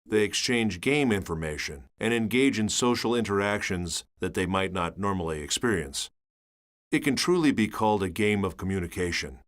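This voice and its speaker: An actor playing a sober engineer recounting his journal in measured, grave narration for a video game. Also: fairly low pitch, expressive, natural voice. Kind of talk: scripted dramatic narration.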